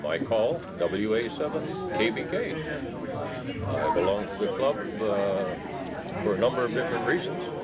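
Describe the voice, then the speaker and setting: A man's voice: fairly low pitch, expressive, moderate pace. A man introducing himself at a club banquet, earnest and hesitant. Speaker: amateur radio club member. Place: crowded banquet hall. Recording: loud banquet crowd noise.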